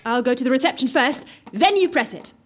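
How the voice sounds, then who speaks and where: An actress playing a brisk, patient, no-nonsense office assistant in an audio comedy, coaxing and matter-of-fact. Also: female voice, high-pitched, lively intonation, a little hiss or hum. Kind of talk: audio drama comedy acting.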